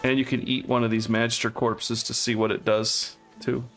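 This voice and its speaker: A man's voice: mid-range voice, little pitch movement, moderate pace, fairly bright tone. A man co-hosting a let's play, chatting casually and reading item stats aloud as he plays. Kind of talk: casual gaming chat. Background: faint game music.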